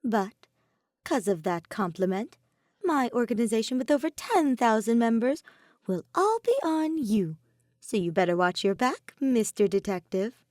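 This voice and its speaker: Girl doing a cocky mischievous teen boy, loud n teasing, high swoopy voice, audiobook character. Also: sing-song, measured pace, dry recording, studio quality. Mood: boastful, teasing. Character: cocky, mischievous teenage boy, a self-styled supreme leader. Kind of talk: fan fiction audiobook acting.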